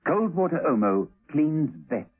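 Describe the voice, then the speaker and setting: A male announcer reads a vintage radio advert with lively pitch and a husky edge. The recording is thin and tinny. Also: a little slow.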